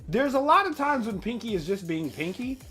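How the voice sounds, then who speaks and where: A man giving casual reaction commentary in an animated, rambling, exclaiming way. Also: very high voice, a little fast, soft background music. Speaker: reaction video host.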